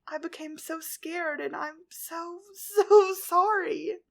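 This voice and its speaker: A woman voicing a young heroine, fierce yet tender, whose voice rises high and loud with emotion. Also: takes it slowly, slightly rough, full character voice. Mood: tearful.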